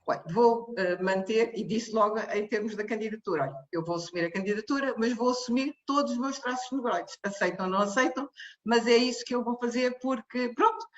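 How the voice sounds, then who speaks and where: A woman's voice: fairly high pitch, fairly quick. A woman from Cape Verde gives a personal testimony in a hesitant way, circling back with fillers and restarts. Her voice comes through muffled and dull, with a roomy echo around it. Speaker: technical director of a social care charity. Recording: echoey, muffled room sound.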